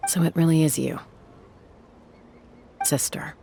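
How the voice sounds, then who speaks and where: A woman playing a serious, level-headed young warrior in a video game, speaking in a measured way. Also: deep voice, steady tone, vocal fry, mellow, slight background noise, heavily acted. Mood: grave.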